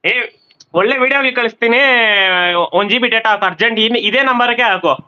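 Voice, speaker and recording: Casting: very high-pitched man, informal, repetitive chatter, muffled chat audio. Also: fairly quick. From Karnataka, India. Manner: informal, repeats short phrases. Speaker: stranger on a random video chat. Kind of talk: casual chat.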